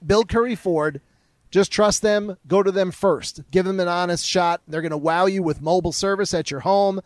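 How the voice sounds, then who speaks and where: Co-host, male, high-ish voice, brisk salesy live reads, dry booth sound. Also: dry recording. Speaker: co-host reading sponsor ads. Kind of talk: sports radio banter with live reads.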